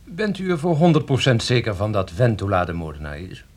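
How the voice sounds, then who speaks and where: An actor in a Dutch radio play playing a calm, sharp-witted amateur sleuth who reasons precisely and probingly. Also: male voice, on the low side, fairly quick.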